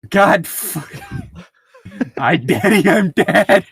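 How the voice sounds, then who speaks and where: A man's voice: high voice, expressive, very slow, vocal fry, husky, dry recording, studio quality. A man co-hosting a casual fan fiction reading, riffing in a profane, sardonic way. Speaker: podcast co-host reading fan fiction aloud.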